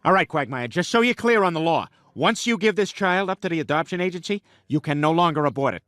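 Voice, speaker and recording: A man voicing a loud, blustering, dim-witted middle-aged family man in an animated comedy. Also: a little high, fast talker, dry recording, clean studio sound. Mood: smug, blunt. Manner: blustering, blunt.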